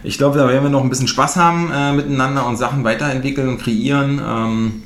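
A man's voice: mid-range voice, steady tone, quick pace, a bit bright. Man, podcast host, from northeastern Germany, chatty, roomy recording, faint background noise. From Mecklenburg-Western Pomerania, eastern Germany. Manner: chatty, many fillers and tag questions. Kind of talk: casual conversation.

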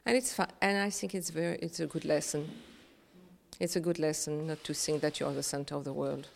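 A woman from Réunion, a Creole speaker, speaking earnestly as an interview guest, halting with many fillers and restarts. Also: low voice, steady tone, gravelly, in a large hall, slight background noise. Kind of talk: reflective conversational interview.

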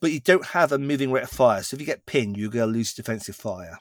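A man's voice: medium pitch, animated, fast talker, fairly bright tone, no room sound, studio quality. A man co-hosting a podcast, casually explaining tabletop wargame rules in long runs with frequent restarts. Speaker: podcast co-host.

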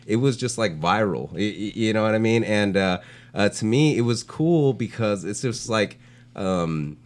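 Lowish voiced guy cohosting a chat show, rambly and slangy, says like and you know a ton. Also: expressive, normal speed. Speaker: podcast co-host, street art blogger. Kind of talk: casual chat.

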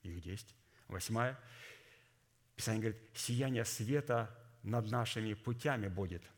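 A man delivering a sermon in a formal, didactic, deliberate way, his voice somewhat hoarse from a cold. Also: fairly low pitch, slight background noise. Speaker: preacher. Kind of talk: sermon with scripture readings and exposition.

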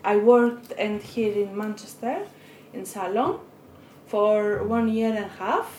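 A middle-aged woman, speaking halting, hesitant English as a while she bakes and chats in her kitchen. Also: mid-range voice, fairly even intonation, dark tone, slight background noise. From Albania. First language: Albanian. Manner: halting learner's English with pauses and self-corrections. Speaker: guest baking a cake. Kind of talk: casual chat while baking. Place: home kitchen.

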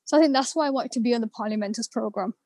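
A young woman from northern England chats casually as a student podcast guest. Her voice is high, and the recording is close and dry. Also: rapid-fire, mellow, no echo. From Manchester, England. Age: young adult. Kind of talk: casual chat.

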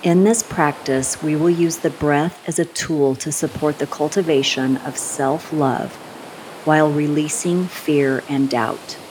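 A woman guiding a scripted meditation in a soothing, unhurried manner, giving gentle instructions with long pauses. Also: deep voice, steady tone, slight background noise, low-quality recording. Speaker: meditation guide.

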